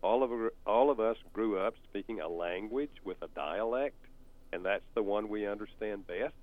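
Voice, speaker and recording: A man's voice: lowish voice, normal speed. An older man with a Southern US accent, explaining things on a talk show in a folksy, storytelling way. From North Carolina. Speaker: author and counselor guest. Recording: tinny phone-like sound.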